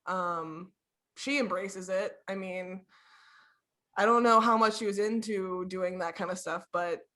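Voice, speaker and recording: A woman's voice: mid-range voice, a little slow, a bit bright. Woman, podcast guest, casual chat, rambling, giggly, filler-heavy. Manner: chatty, lots of "like" fillers, giggly. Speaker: guest streamer.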